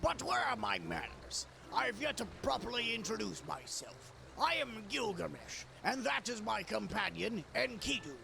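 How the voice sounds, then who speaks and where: A man voicing a grand, courtly wandering warrior in a video game, with a gravelly voice and formal, archaic speech. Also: high-pitched, sing-song, normal speed, few pauses, heavily acted. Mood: courtly, intrigued. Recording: clear music behind. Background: game music.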